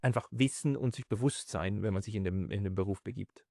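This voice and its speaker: A man's voice: lowish voice, moderate pace, gravelly, darkish tone. A man hosting an interview in a spontaneous, conversational style. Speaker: interviewer, host.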